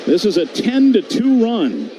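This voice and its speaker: A man's voice: sits high, wildly swooping pitch, measured pace, dark tone. A man giving live radio-style basketball play-by-play as a commentator, with noisy arena ambience behind him. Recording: clear background noise.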